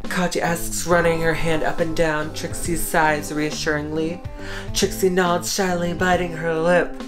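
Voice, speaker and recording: Woman, story reader, low voice, slow deliberate narration, guitar music. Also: level tone. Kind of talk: dramatic reading of fan fiction.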